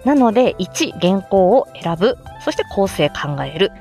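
Woman chatting with very lively intonation. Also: mid-range voice, measured pace, faint music behind. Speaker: amateur voice actor explaining how to make voice samples. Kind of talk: casual explanatory livestream chat. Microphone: smartphone, close.

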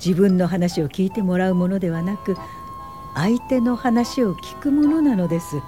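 A woman playing a composed, politely firm older welfare pioneer in a radio drama, with measured narration and dialogue. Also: mid-range voice, warm tone, soft background music, close to an everyday voice. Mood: calm, polite.

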